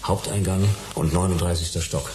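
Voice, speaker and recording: Man acting low-voiced, dry private detective. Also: fairly even intonation, moderate pace, noisy background, natural voice. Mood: pondering. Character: male private detective in a sci-fi crime story, dry, stubborn. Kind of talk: German radio play dialogue.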